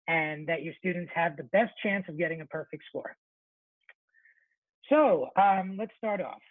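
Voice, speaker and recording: A man presenting an informal webinar for teachers, chatty and relaxed, often correcting himself mid-sentence. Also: high voice, no echo. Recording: thin phone-like webinar audio, dry.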